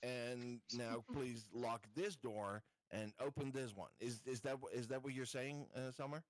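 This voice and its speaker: A man's voice: medium pitch, unhurried, no room sound. Man playing a tabletop game, dry sound. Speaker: player in a tabletop roleplaying game. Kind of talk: casual game chat.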